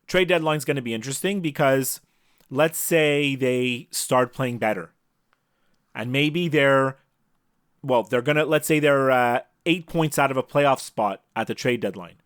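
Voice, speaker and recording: Male sports podcast co-host, average pitch, casual conversational delivery, dry close-mic sound. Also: measured pace, clean tone, no room sound.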